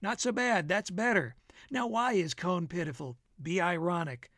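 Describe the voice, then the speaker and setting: A man's voice: high-pitched, moderate pace, fairly bright tone, dry recording, studio quality. Man acting out a brash, teasing American wisecracker. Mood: needling. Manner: emphatic, needling, declamatory. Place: dry radio studio.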